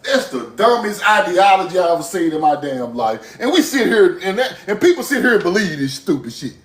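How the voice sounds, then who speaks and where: A man's voice: high voice, brisk, reverberant. A man from Mississippi, hosting a livestream and delivering a heated, profane monologue with bursts of laughter. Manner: animated, profane, laughing rants. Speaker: livestream host.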